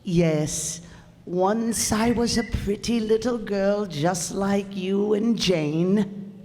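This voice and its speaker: A woman's voice: medium pitch, extremely slow, mellow. A woman acting a proud, flustered 75-year-old widow in a radio play, with formal, stammering speech. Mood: gently chatty. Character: elderly widow, 75, indignant, wistful, proud of her girlhood. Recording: echoey hall, noisy live stage recording.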